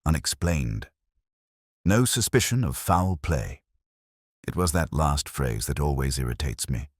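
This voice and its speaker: An older man playing a melancholy, cerebral detective, narrating a scripted mystery in a measured, reflective way. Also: rumbling low, animated, no echo, clean studio sound, exaggerated voice. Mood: brooding, reflective. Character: melancholy, cerebral, brooding male English police detective.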